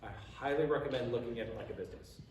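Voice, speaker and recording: A man's voice: mid-range voice, rapid-fire, crowd noise. A middle-aged American man talking casually and emphatically at a convention Q&A, in an echoing, noisy hall. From Colorado, United States. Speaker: voice actor, panel guest. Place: large indoor convention room with audience. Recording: very echoey noisy hall, distant microphone.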